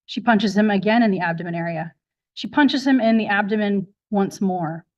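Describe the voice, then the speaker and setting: A woman's voice: mid-range voice, moderate pace. A woman speaking as a prosecutor, methodically reading a documented log aloud in formal, factual fashion, recorded with dull courtroom sound.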